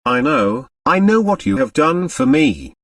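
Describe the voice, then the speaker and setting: Man voicing a seductive, dramatic woman dancer, lively pitch. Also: unhurried, gravelly, no room sound, far from the mic, exaggerated voice. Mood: intense, conspiratorial. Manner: dramatic, coaxing, emphatic repetition. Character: seductive, possessive foreign woman dancer, sure of her power. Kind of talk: audiobook character acting.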